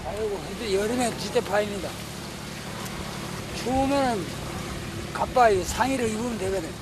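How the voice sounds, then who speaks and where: A man's voice: high-pitched, moderate pace. A man with a Gyeongsang accent, a fishing crewman talking casually while working out on a boat. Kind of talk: casual on-the-job interview talk. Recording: very loud boat and engine noise.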